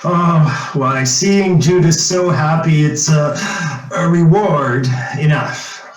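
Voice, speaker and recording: A man playing an avuncular, folksy, smooth-talking old man in old-time radio drama style. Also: fairly high pitch, slow, far from the mic. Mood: genial. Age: older. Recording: echoey room, off-mic, faint music.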